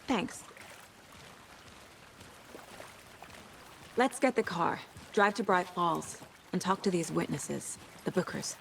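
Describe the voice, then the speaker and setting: Driven female federal agent, lowish pitch, focused, analytical, video game drama, faint ambience behind. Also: lively intonation, unhurried, a bit bright, heavily acted. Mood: brisk, decisive. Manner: focused, matter-of-fact, analytical. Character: composed, driven female FBI agent investigating murders. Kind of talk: cinematic video game acting. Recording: clear background ambient noise.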